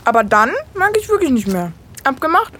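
A woman's voice: sits high, very animated, brisk, sharp tone, slight background noise, heavily acted. A woman playing a whiny, sceptical little brother in an audio drama. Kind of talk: German audio drama acting.

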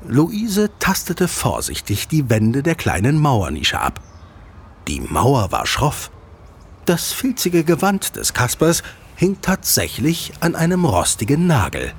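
A man narrating a scripted children's audio drama as an expressive storyteller with deliberate phrasing. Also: medium pitch, breathy edge.

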